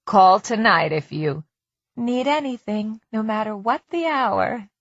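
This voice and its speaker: A woman voicing a steady, concerned middle-aged husband with a calm, gently teasing manner in an audiobook. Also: on the high side, unhurried, dry recording, dull audio.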